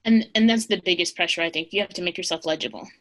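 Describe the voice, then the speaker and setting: A woman's voice: fairly low pitch, fast, a bit bright. A woman, a college English instructor, speaking casually in a podcast roundtable and thinking aloud with frequent "kind of" and "like".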